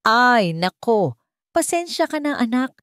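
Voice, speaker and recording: A woman voicing an older mother-in-law in a narrated story, speaking gently and softly while feigning innocence. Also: fairly high pitch, very animated, unhurried, smooth, no room sound, studio quality, voice pushed up. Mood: feigned worry. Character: older mother-in-law, sly and falsely sweet. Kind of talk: storybook narration with acted dialogue.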